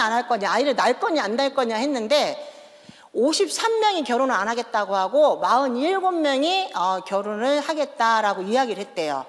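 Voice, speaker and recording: A woman in her fifties with a Chungcheong accent, a pastor's wife giving a joking, animated testimony in a large church. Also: high voice. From Daejeon, South Korea. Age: 50s. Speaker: pastor's wife, guest speaker. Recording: echoey church hall.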